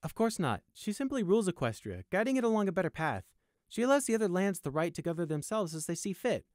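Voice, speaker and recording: A man as an earnest, high-voiced loyal pony guard. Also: sing-song, a little fast, darkish tone, dry recording, clean studio sound. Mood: proud conviction. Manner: earnest, assured, lecturing. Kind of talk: audiobook character acting.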